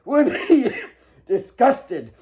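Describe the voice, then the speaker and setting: A man voicing a stern, ailing old father, gruff and exasperated, with a gasping delivery. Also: very high voice, sing-song, drawn-out words, warm tone, muffled recording, slight background noise, voice pushed up, heavily acted. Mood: angry, exasperated.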